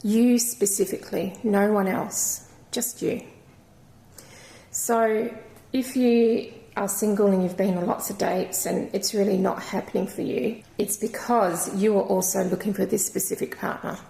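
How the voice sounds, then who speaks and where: A woman with a croaky voice giving a channelled crystal reading in a gentle, rambling, reassuring way. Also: mid-range voice, fairly even intonation, unhurried. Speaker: intuitive card reader. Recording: echoey room.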